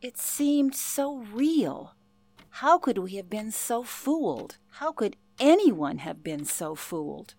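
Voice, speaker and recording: An actress playing a former telephone operator who recalls past events in a reflective, earnest interview style. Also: female voice, fairly high pitch, very animated, slow pace, a little mellow, full character voice. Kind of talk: interview-style narration in radio play.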